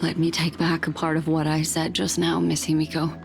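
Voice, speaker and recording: Mysterious, gracious lady, very low and even in pitch, formal and soft-spoken, game dialogue. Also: moderate pace, creaky, music in the background. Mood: grave, courteous. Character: composed, mysterious, courteous woman with measured grace. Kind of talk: video game voice acting.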